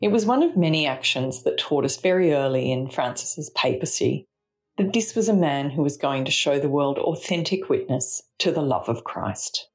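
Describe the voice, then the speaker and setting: An Australian woman reading a scripted spiritual reflection in a measured, reflective way. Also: low voice, expressive, soft background music. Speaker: reflection speaker, charity mission partner.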